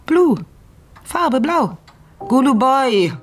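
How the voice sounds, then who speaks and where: A woman's voice: high voice, lively intonation, very slow, heavily acted. An actress playing a knowing female informant in a radio play, teasing and insinuating with an old flame. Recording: music under the voice.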